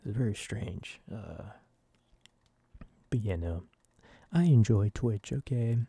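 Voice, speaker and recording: A soft-spoken man in his early twenties rambles in a casual ASMR style. His voice is low and very dark, recorded close and dry, and he tends to put himself down as he talks. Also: expressive, takes it slowly, vocal fry, husky, no echo. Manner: casual, self-deprecating. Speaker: ASMR video creator.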